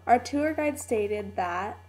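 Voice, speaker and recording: A woman's voice: medium pitch, expressive, slow, darkish tone. A woman playing a gushing young tourist with casual, filler-heavy speech in a scripted puppet show.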